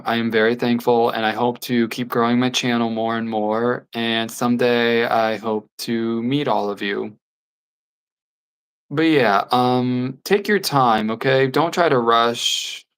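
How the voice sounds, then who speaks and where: A 24-year-old livestreamer talking in a casual, candid and rambling way, with soft laughs here and there. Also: mid-range voice, vocal fry. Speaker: YouTube livestreamer.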